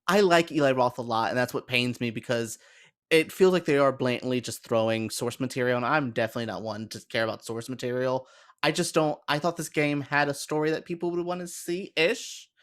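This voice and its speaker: A man's voice: medium pitch, a little fast, fairly bright tone. A man chatting casually as a podcast panelist, giving frank opinions. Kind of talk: casual chat about films and games.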